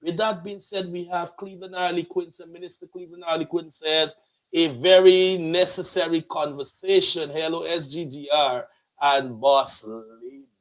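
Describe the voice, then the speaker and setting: A man's voice: a little high, steady tone, moderate pace, rough recording. A man with a Guyanese accent hosting a motivational show like a preacher, with emphatic, flowery, repetitive rhetoric. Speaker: host and pastor of a motivational online show.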